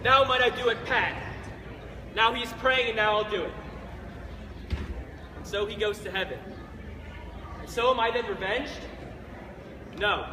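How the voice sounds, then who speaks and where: A man's voice: high-pitched, measured pace, pauses often. A young man performing a vengeful, plotting prince in a projected Shakespearean stage monologue. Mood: brooding, vengeful. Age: teenager. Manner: declamatory, projected stage delivery. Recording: echoey, noisy courtyard recording.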